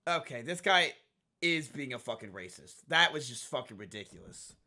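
A man's voice: mid-range voice, lively intonation, bright, forceful tone. A young man streaming from his room on a headset, reacting with crude, rambling commentary. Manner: crude, rambling, fast bursts. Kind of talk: casual livestream commentary.

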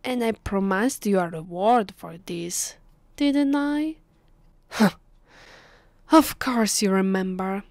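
A woman with an Italian accent voicing a haughty, possessive vampire mistress in a close, hushed ASMR roleplay, condescending and commanding. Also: mid-range voice, slow, pauses often, no room sound. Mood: smug, teasing. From Italy. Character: haughty, possessive female vampire mistress addressing her captive. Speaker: ASMR voice actress. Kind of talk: ASMR roleplay, slow, close, often whispered. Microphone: close microphone, dry.

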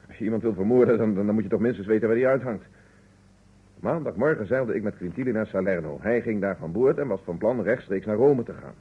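Indignant suspect, radio play, low voice, very raspy, dry sarcasm, narrow vintage sound. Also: male voice, quick pace. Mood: defiant. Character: defensive, indignant man being questioned, candid and sardonic. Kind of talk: vintage Dutch radio drama acting. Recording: muffled, narrow-band old radio recording.

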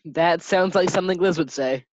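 A performer acting a calm, advice-giving male friend in a 1940s-style radio sitcom, on a muffled microphone. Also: mid-range voice, normal speed, slight background noise, close to an everyday voice. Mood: knowing.

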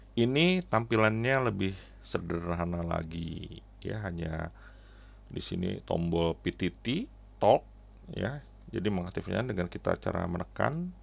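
Middle-aged man, low gravelly voice, halting tutorial delivery, headset mic, slightly dull audio. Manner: halting, step-by-step explaining with frequent "ya" tags. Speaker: app reviewer and tutorial presenter. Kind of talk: casual screen-recorded app walkthrough. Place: indoors at a computer, keyboard clicks.

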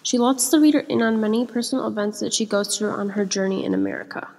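A young woman hurries through a student book report at a fast clip. Her voice is breathy and a little high. A long reverb trails behind her. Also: darkish tone. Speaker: student presenter. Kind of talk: reading a book report aloud. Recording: echoey room with long reverb.

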